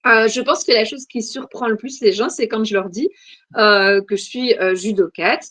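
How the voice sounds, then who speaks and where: A woman's voice: fairly high pitch, moderate pace, a bit bright, dry recording. Hesitant Belgian woman in dry sound. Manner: hesitant, many "euh" fillers, thoughtful. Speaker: guest. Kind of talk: casual interview answers.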